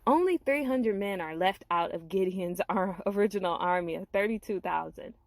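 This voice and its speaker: A woman telling a Bible story in a dramatized, expressive way, with informal, reacting asides. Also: medium pitch, normal speed, dry recording.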